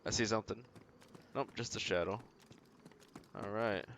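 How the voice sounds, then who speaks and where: Male game streamer, low gravelly voice, muttering short casual remarks, faint noise and keyboard clicks behind. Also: steady tone, normal speed, fairly bright tone. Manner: talks to himself in short remarks. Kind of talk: casual gameplay commentary. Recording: noisy mic with clicks and keyboard typing. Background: keyboard typing and clicking.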